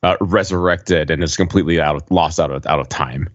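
A man's voice: extremely low pitch, fairly quick. A man co-hosting a podcast, chatting casually and reacting to what he is told. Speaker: podcast co-host. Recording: dull lo-fi podcast audio.

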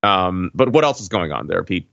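A man co-hosting a podcast, casually discussing film in a halting way, repeating words and often checking in. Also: low voice, very animated, vocal fry, fairly bright tone, dry recording, muffled recording. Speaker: podcast co-host.

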